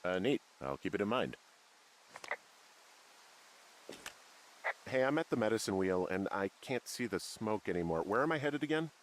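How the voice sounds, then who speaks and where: A man voicing a weary, wry, awkward male fire lookout in a video game, speaking hesitantly with low-key dry humor. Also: sing-song, quick pace, slight background noise, poor audio. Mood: uneasy, wry. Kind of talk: naturalistic video game voice acting.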